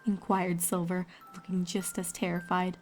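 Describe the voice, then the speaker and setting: A woman's voice: fairly low pitch, monotone, normal speed, loud music behind the voice. A woman storyteller reading scripted fiction aloud, with ambient noise behind her.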